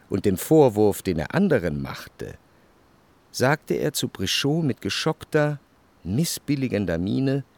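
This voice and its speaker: An actor playing a reflective, melancholy male narrator, reading scripted first-person literary prose for a radio drama in measured, formal phrasing. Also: on the low side, darkish tone, full character voice.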